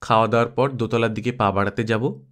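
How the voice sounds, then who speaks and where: A man reading a story aloud as an expressive scripted narration. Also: mid-range voice, fast.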